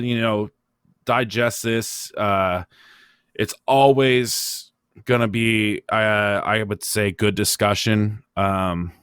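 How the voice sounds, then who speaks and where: A man with a fairly low voice hosts a casual motorsport podcast. He thinks aloud in a halting, opinionated way. The sound is very dry, with no room around him. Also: fairly bright tone, clear, resonant tone, no echo. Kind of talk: casual racing discussion, opinionated.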